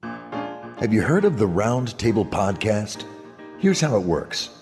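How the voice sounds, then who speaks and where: Animated low-voiced male promo announcer, long reverb. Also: slow pace. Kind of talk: scripted podcast promo. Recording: reverberant promo with music behind. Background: music.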